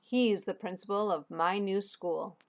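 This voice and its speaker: A woman's voice: mid-range voice, fairly even intonation, a little slow, highs cut off, lightly acted. A woman voicing a reluctant, self-conscious boy of about ten in a storybook, plain and resistant. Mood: questioning.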